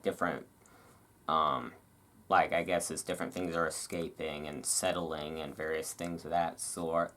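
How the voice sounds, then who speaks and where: A host giving a relaxed ASMR read-aloud, drifting into casual, musing asides. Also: sits low. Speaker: host reading and commenting on a magazine.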